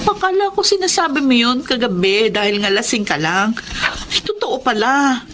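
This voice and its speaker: A nagging, worried wife in a Filipino radio drama. Her voice sits high, pleading and exasperated as she frets over the family. The recording has clear noise behind it. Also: lively intonation, normal speed, a bit bright. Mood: worried, upset.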